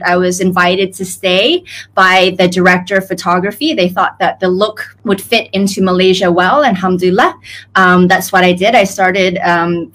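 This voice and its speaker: An American woman in her thirties telling her life story as a guest on a video call, chatty and expressive, laughing often. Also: mid-range voice. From United States. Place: home room with shelves.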